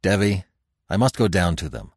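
A man voicing a fierce barbarian chief who speaks low and bluntly. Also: very animated, normal speed, slightly rough, dry recording, studio quality, full character voice.

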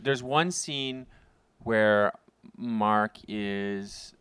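A man co-hosting a podcast in casual chat, earnest and halting, often restarting his sentences. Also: on the low side, fairly bright tone, clean tone, no room sound. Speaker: podcast co-host.

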